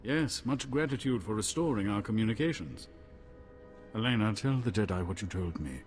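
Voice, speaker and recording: A man's voice: fairly low pitch, animated, normal speed, soft background music, lightly acted. An actor playing a courtly, gracious and grave nobleman in a video game, speaking formally and expressively. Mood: gracious, concerned. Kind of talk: video game cutscene acting.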